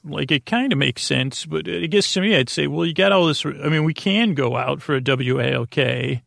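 A man hosting a bedtime podcast in a casual, rambling monologue, halting and drifting off into tangents, with a creaky voice. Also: fairly high pitch, a bit bright, no room sound, studio quality. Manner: meandering and halting, trails off into tangents. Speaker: podcast host.

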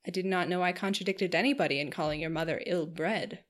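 A woman's voice: fairly low pitch, brisk, lightly acted. A sour, contrary husband from a period storybook, as a woman reader puts him on, grumbling and scornful of everyone around him. The voice stays close to the reader's own. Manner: grumbling, disdainful.

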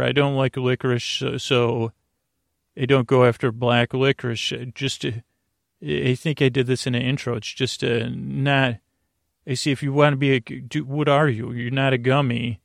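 A man with a gravelly, fry-laden voice rambles through a bedtime monologue. He speaks haltingly and wanders into whimsical tangents, leaving thoughts half finished. The sound is dry, with no room around it. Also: medium pitch, dry recording. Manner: meandering, halting, trailing off into tangents. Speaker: sleep podcast host.

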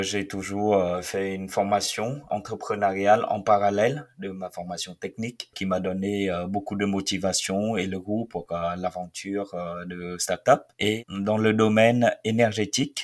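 Hesitant young man. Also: on the low side. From Vietnam. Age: 20s. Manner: hesitant, many filled pauses. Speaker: researcher and startup founder. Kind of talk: first-person testimony.

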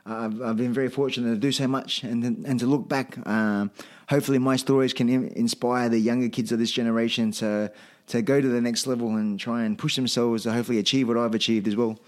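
A man's voice: mid-range voice, steady tone, fairly quick. A middle-aged man with an Australian accent, telling personal anecdotes as a guest in a hesitant, modest, casual way. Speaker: podcast guest, veteran fighter.

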